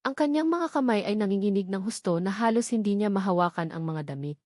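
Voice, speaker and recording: A woman's voice: medium pitch, animated, quick pace, a little mellow, no echo, clean studio sound. A woman reading a dramatic scripted story as a storyteller.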